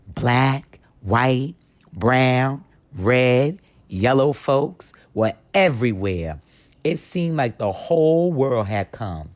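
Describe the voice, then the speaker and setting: A woman reading a history book for children aloud as expressive storytelling, with deliberate, emphatic, dramatic phrasing. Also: very deep voice, dry recording.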